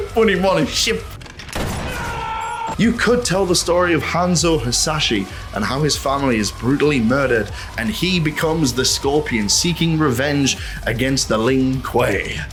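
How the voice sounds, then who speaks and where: A man with a fairly high voice hosts a casual video essay over background music. He talks in an animated, opinionated rant, throwing out rhetorical questions and swearing freely. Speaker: host of a video essay channel.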